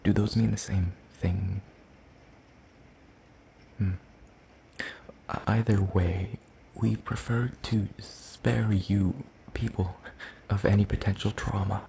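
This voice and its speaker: Guy doing a gentle demon creature for asmr, soft n gravelly, slow hesitant formal talk, bit of ambient noise. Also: low-pitched, heavily acted. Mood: musing, polite. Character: gentle, curious, one-eyed soul-leeching demon creature. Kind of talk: ASMR roleplay, soft speaking.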